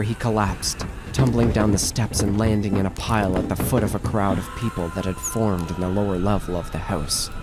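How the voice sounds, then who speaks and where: A man narrating a scripted horror story in a measured, dramatic storytelling manner. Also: on the low side. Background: music.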